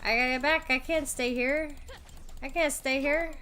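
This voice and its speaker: A woman's voice: high-pitched, steady tone, moderate pace, pushed and bright, a little hiss or hum. A woman streamer chatting casually while gaming, sleepy and joking, with mumbled asides.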